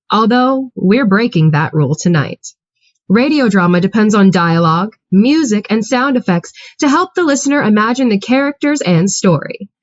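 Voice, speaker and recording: A woman narrating with a dry, wry tone. Her voice is close and without room echo, a little muffled like video call audio. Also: on the high side. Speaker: narrator and stage manager. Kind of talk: scripted introduction, amateur theater.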